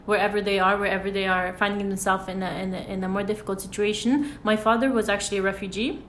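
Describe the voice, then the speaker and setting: A woman hosts a podcast interview in a hesitant manner, often pausing and restarting. Her voice sits at a middle pitch. The room is echoey, with faint background noise. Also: fairly even intonation, fairly bright tone. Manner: hesitant, many fillers and restarts. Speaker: podcast host. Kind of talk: interview conversation.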